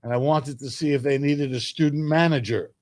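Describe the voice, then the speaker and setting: An older man from New York reminiscing as an interview guest, speaking in a measured way with emphatic phrasing. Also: mid-range voice, fairly even intonation, a little mellow, dry recording, clean studio sound. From New York, United States. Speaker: guest, sports public address announcer.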